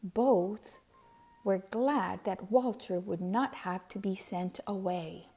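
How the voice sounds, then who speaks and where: Slow, mellow woman reading a storybook. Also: medium pitch, fairly even intonation, faint music behind. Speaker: storybook reader. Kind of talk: expressive children's read-aloud narration.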